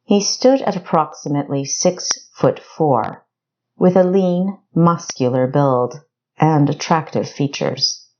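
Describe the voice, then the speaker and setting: A woman narrates a scripted story as an audiobook. Her voice sits low and she moves slowly through the prose. The sound is a little dull. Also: darkish tone. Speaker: author narrating own audiobook.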